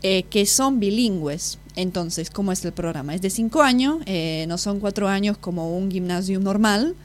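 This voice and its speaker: A woman's voice: mid-range voice, moderate pace. Woman in her twenties, hesitant. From Slovakia. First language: Slovak. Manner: hesitant, searching for words, self-correcting. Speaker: exchange student guest. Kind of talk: casual interview answers.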